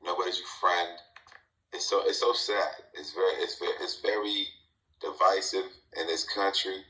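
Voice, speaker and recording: A man's voice: low-pitched, level tone, normal speed, bright, forceful tone, roomy sound, low-quality recording. A man moderating a group talk, giving a blunt, profane, repetitive opinion. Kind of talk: casual opinion rant.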